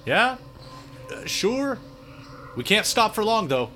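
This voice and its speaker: High, animated diner cook. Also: male voice, slow, a little hiss or hum, heavily acted. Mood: obliging, grudging. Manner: casual, offhand. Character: easygoing, chatty diner cook who pilots time travel. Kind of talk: audio drama acting.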